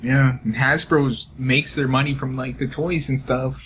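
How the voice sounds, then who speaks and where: A man's voice: medium pitch, flat delivery, a little slow, a bit bright, slight background noise. A man chatting casually as a podcast co-host. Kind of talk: casual chat. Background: music behind the voice.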